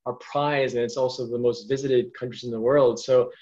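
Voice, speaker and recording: A man's voice: medium pitch, steady tone, moderate pace, darkish tone. New York-born male podcast host, eager and long-winded, on a dull lo-fi recording. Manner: enthusiastic, rambling questions. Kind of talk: casual podcast interview. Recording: dull lo-fi sound.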